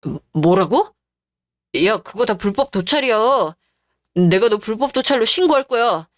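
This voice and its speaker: A woman's voice: a little high, expressive, a little slow, no echo, studio quality. A woman doing a rude bullying husband. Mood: defensive. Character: callous cheating middle-aged husband.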